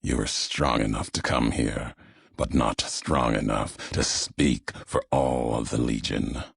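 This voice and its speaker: Casting: brooding, fatalistic game courier, heavy fry and husky grain, grave, cryptic, unhurried menace. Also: male voice, fairly even intonation, no room sound, studio quality, heavily acted. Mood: grave, resolute. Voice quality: deep, gravelly, raspy. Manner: slow, solemn, cryptic, clipped phrases. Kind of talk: video game character dialogue.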